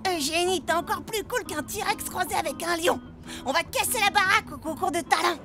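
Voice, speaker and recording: A voice actor playing an excitable, whiny, gushing young child in a French cartoon dub. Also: very high voice, a little fast. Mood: excited. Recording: background cartoon music.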